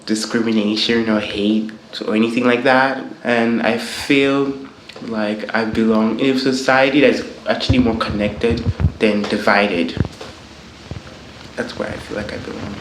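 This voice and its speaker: A man speaking earnestly and in a measured way in an interview. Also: lowish voice, steady tone, background noise. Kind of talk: personal interview testimony. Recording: echoey room.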